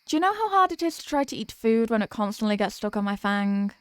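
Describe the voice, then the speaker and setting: Woman voicing a theatrical high-voiced vampire girlfriend. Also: sing-song, fast, clean tone, no room sound, studio quality. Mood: chatty. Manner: theatrical, pleading, mock-tragic. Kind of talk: scripted roleplay audio monologue.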